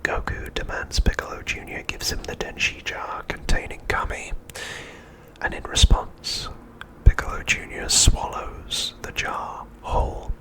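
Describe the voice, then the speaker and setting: A man narrating a scripted recap for ASMR in a breathy, unvoiced whisper, with a hushed, measured delivery. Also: poor audio. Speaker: ASMR host.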